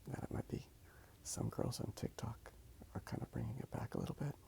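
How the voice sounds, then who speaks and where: A man speaking softly in a casual, rambling ASMR style as he draws. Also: brisk. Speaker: ASMR video creator. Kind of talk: whispered ASMR rambling while drawing.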